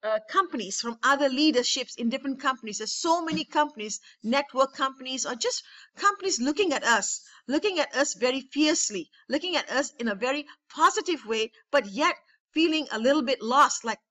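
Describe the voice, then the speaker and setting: Woman trainer on a webinar call, high voice, enthusiastic and repetitive. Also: expressive, brisk, pushed and bright. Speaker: network marketing trainer.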